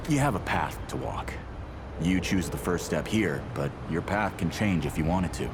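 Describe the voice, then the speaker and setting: An actor playing an eccentric, cryptic seer in a video game, rambling and correcting himself in halting phrases. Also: male voice, sits low, background noise. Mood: cryptic, distracted. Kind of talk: video game character dialogue.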